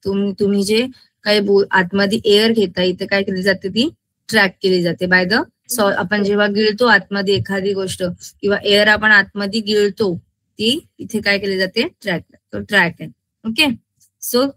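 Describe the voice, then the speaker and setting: A woman's voice: mid-range voice, steady tone, normal speed, pushed and bright, no echo, low-quality recording. A woman school science teacher with a Maharashtrian accent, lecturing interactively with English terms mixed in and often asking check questions as she explains.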